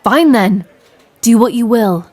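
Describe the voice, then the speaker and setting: A woman's voice: fairly high pitch, lively intonation, slow, darkish tone, dry recording. A woman playing a proud, skeptical female pirate captain in an audio roleplay, brusque, grumbling and dismissive. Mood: scornful, grumbling. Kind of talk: character audio roleplay acting.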